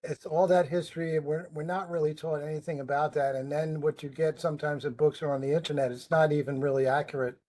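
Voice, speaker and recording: A man's voice: a little high, flat delivery, fast talker, few pauses, a little mellow. Chatty male host with rambling questions. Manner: chatty, rambling, many ums. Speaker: podcast host. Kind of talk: casual interview conversation.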